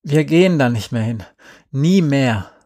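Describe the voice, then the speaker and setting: A man's voice: on the high side, moderate pace, no room sound, close to an everyday voice. A man voicing a feisty, streetwise young girl in an audiobook, brash, slangy and emphatic. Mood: promising. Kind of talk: acted audiobook dialogue.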